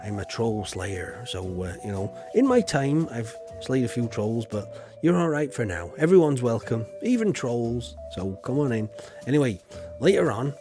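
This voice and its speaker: A man hosting a livestream, chatting to viewers in a rambling, folksy way full of filler. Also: mid-range voice. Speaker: livestream host. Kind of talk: casual livestream chat to viewers.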